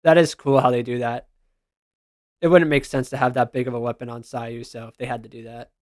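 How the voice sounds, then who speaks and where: A man's voice: medium pitch, fairly even intonation, brisk, darkish tone, dry recording, clean studio sound. A man giving casual, slangy reaction commentary as a gaming content creator, responding aloud to what he reads.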